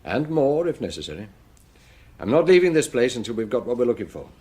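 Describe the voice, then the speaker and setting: An actor playing a senior police chief in a British radio drama, unhurried and resolute, with a measured, authoritative, dryly humorous way of talking. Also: male voice, mid-range voice, big pitch swings, a little mellow, slightly rough.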